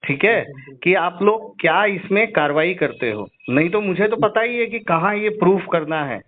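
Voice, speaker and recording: A man's voice: sits high, animated, moderate pace. A middle-aged man arguing as a customer on a phone complaint, insistently challenging and repeating his questions. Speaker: caller, insurance customer and patient. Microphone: phone call. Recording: narrowband phone call recording.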